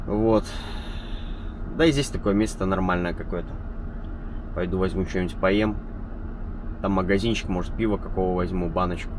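A man's voice: deep voice, moderate pace, long pauses. Trucker guy chatting easy to his viewers, bit of road noise behind. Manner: relaxed, rambling, talks to viewers. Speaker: truck driver vlogger. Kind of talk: casual vlog chat. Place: truck cab and roadside.

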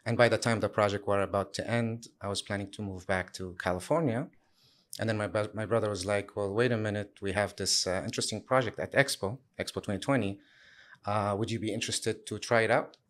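A man in his thirties from Syria, answering interview questions in a relaxed way with many fillers and restarts. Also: fairly low pitch, a little fast. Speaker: guest, sustainability lead.

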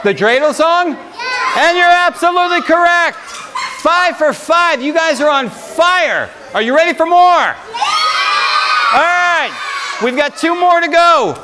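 High-pitched man hosting over noisy kids. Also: expressive, a little slow. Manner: loud, projecting, encouraging game-show-style calls. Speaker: children's library story time host. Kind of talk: leading a music guessing game for children. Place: large carpeted library meeting room. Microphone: handheld microphone, filmed from far camera. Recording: noisy room recording, keyboard music, children clamoring. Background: loud keyboard music and crowd of children.

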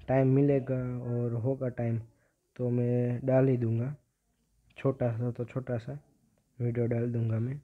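A teenage male giving casual gameplay commentary as a gaming video creator. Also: mid-range voice. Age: teenager, about 15. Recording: dull, lo-fi phone-like audio.

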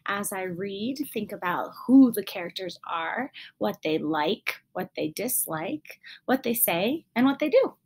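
A young woman reading a children's story aloud in a deliberate manner, as if teaching young children. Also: mid-range voice, expressive. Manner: deliberate, clearly phrased for children.

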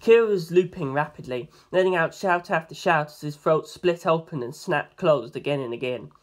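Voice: mid-range voice, normal speed. A narrator reading scripted first-person fiction aloud in an audiobook style.

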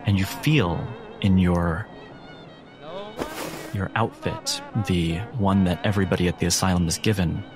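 A man working as game master, narrating a dramatic tabletop horror game deliberately, with pauses between phrases. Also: deep voice, steady tone. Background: music.

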